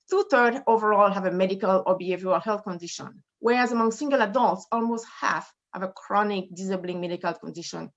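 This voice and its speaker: A woman with a French Haitian accent, a medical director giving a measured, formal conference presentation over a video call. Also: medium pitch, highs cut off. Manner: measured, formal, reading data with occasional fillers. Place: in front of a brick wall indoors.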